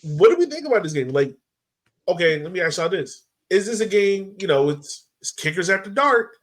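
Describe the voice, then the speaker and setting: A man's voice: sits high, very animated, moderate pace, a bit bright. A man hosting a casual soccer discussion, speaking informally and conversationally and often posing questions. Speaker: podcast host.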